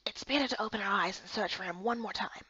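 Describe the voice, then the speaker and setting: Woman voicing a hushed, teasing young male adventurer. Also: mid-range voice, quick pace, husky, no echo, lo-fi sound. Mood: calm, commanding. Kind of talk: visual novel game character dub.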